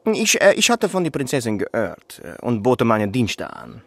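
An actor playing an evasive male interpreter from overseas, speaking halting, broken German with a foreign accent. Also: mid-range voice, wildly swooping pitch, creaky, no room sound, full character voice. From Portuguese Timor. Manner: halting, broken grammar, hesitant.

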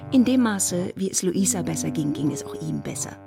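Creaky, lowish female literary narrator. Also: animated, a little fast, over music.

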